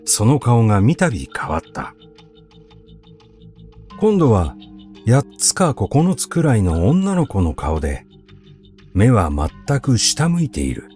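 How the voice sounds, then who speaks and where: Expressive male literary reader, unhurried. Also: lowish voice. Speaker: narrator reading literature aloud. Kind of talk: literary recitation of a classic essay. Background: background music.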